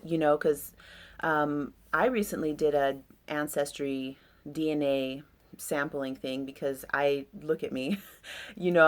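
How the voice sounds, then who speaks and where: A woman with a deep voice talking about astrology in a loose, rambling, reflective way with many fillers. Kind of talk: casual astrology talk.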